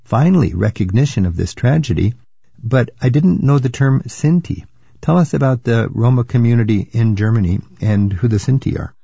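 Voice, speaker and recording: Older man, travel radio host, curious interviewer, husky voice, muffled dry recording. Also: mid-range voice, animated, moderate pace, dark tone, no echo. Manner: curious, chatty, thinks aloud with fillers.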